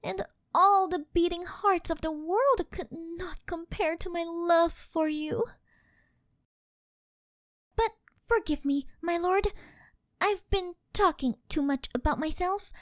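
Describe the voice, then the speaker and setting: A woman's voice: very high voice, slow, fairly bright tone, no room sound, clean studio sound, heavily acted. A woman voicing a possessive, worshipful living doll maid in an intimate ASMR roleplay, speaking in a formal, fawning, melodramatic way. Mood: adoring. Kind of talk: scripted ASMR roleplay, intimate monologue to the listener.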